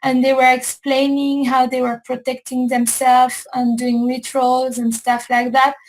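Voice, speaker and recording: A woman hosting a casual show, talking slowly and repeating herself as she searches for words. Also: high voice, completely flat delivery, poor audio. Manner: slow, repetitive, searching for words. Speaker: host. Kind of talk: casual chat.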